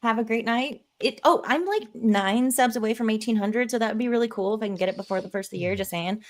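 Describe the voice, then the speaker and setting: A woman's voice: a little high, quick pace. A woman hosting a live panel stream, chatting casually as she wraps up the show. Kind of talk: casual chat, signing off a livestream.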